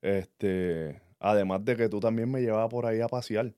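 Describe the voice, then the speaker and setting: A man's voice: fairly low pitch, normal speed, mellow, smooth, no echo. Earnest Puerto Rican man, dry sound. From Puerto Rico. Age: 30s. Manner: earnest, reflective, leans on "este" fillers. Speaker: podcast co-host. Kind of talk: casual personal chat.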